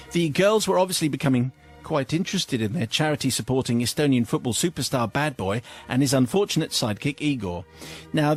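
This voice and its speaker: A man hosting a radio show, reading a listener's letter aloud and often breaking into laughter. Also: mid-range voice.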